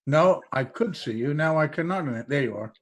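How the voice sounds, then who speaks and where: A man's voice: on the high side, expressive, moderate pace, noisy background. A man hosting an interview over a video call, with a friendly, joking manner. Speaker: host.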